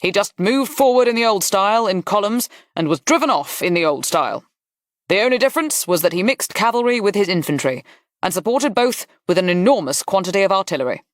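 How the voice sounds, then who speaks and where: A narrator voicing a brisk, blunt aristocratic general in an audiobook, with clipped, emphatic phrasing. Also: medium pitch, expressive, dry recording, studio quality, voice pushed up, close to an everyday voice. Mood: animated, emphatic. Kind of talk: audiobook character dialogue.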